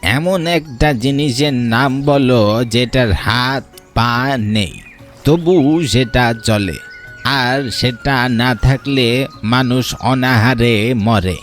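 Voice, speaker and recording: A man voicing a mysterious, commanding stranger who poses riddles in a cartoon story, speaking in a deliberate, theatrical way. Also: mid-range voice. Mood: testing, solemn. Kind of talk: Bengali cartoon story dialogue. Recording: music behind the voice.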